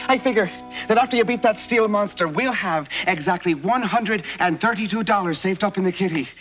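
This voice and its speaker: A high-voiced, eager laborer sidekick. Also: male voice, a little fast, fairly bright tone. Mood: hopeful. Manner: chummy, folksy. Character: eager, loyal laborer friend dreaming of retirement. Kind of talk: television folk-tale drama acting. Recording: faint music behind, old videotape.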